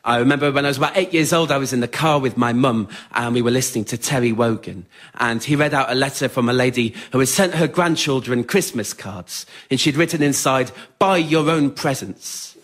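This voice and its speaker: Young english guy doing wry storytelling on stage, understated, measured, medium pitch. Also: a bit bright. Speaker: writer-performer of a solo spoken-word show. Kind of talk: scripted autobiographical storytelling on stage. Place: radio theatre in front of a live audience.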